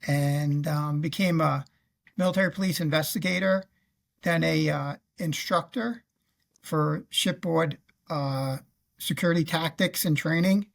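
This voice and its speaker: A man in his fifties with a New York accent and a notably creaky, gravelly voice. He talks about his working life as an interview guest, in halting phrases with frequent pauses, from a room on a video call. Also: fairly high pitch. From Queens, New York. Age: 50s. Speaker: guest, retired federal law officer and navy veteran. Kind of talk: recounting career in an interview.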